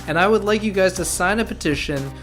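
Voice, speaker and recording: A man's voice: high-pitched, fast talker, over music. A man doing a dramatic comic reading, ranting with exaggerated indignation. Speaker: comedy reader.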